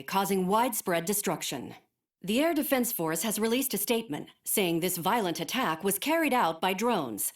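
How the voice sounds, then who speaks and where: A woman's voice: medium pitch, close to an everyday voice. A woman voicing a formal news anchor. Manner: crisp, formal news delivery. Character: adult female news anchor, composed. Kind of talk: breaking news broadcast.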